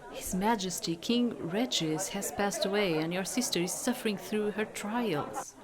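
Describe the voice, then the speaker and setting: A woman's voice: mid-range voice, unhurried, a crowd in the background, close to an everyday voice. A woman voicing an earnest, devoted male knight in a visual novel, speaking formally. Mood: earnest, relieved. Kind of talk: visual novel dialogue read aloud.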